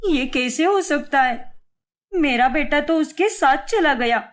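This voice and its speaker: A woman's voice: high-pitched, expressive, slow. A woman voicing a short-tempered young mother who answers sharply and defensively. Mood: shocked.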